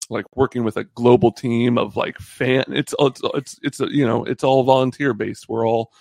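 A man in his thirties talks as a podcast guest in a loose, casual way. His voice has a creaky edge and sits at a middle pitch. He is halting and rambling, with a joking streak, and the sound is close and dry. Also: fairly even intonation, darkish tone, dry recording. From born in the Bahamas. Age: 30s. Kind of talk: casual chat.

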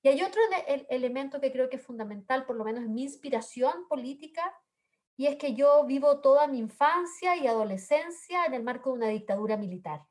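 A woman's voice: high-pitched, lively intonation, a little fast, a little mellow. A woman from southern Chile with a Chilean accent, speaking earnestly as a panelist, with frequent fillers and tag questions. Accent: Chilean Spanish. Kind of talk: panel discussion remarks.

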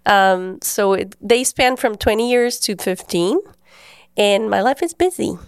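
A woman's voice: mid-range voice, measured pace, clear, resonant tone, dry recording, studio quality. Woman hosting a faith podcast. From Guatemala. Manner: earnest and conversational. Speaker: podcast host. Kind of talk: personal faith storytelling.

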